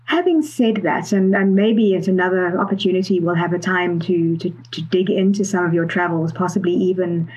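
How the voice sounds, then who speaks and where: A woman's voice: lowish voice, normal speed, hardly any pauses, a little mellow, breathy edge, low-quality recording. A woman hosting a conversational podcast interview in a chatty, appreciative manner.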